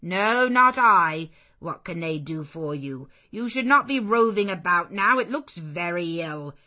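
Woman reading as a gruff old servant. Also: on the low side, unhurried, a bit bright. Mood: sharp. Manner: gruff and plain-spoken. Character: blunt suspicious elderly woman servant.

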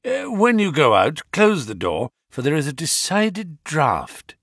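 Gentleman sleuth played by a man: bland politeness, dry amusement, expressive range, close dry sound. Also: a little high, measured pace, slightly rough, dry recording, voice pushed up, exaggerated voice. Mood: amused. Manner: imperturbable, polite, wry. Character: cool, bland, amused male gentleman detective.

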